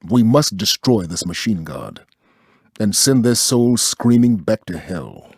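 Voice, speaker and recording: An actor playing a fierce, solemn military leader giving a dramatic video game briefing in a raspy voice. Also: male voice, lowish voice, very animated, unhurried, darkish tone, slight background noise.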